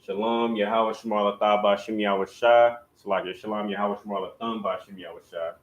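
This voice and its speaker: A man's voice: on the low side, steady tone, fairly quick. A man leading a livestreamed religious language class. He talks casually and addresses viewers directly, often saying "you know what I'm saying" between instructions.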